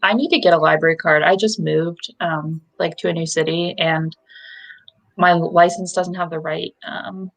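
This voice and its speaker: A woman's voice: lowish voice, fairly even intonation, normal speed, creaky, fairly bright tone, rough recording. A young woman in casual chat as co-host of a book livestream, rambling with lots of likes and ums.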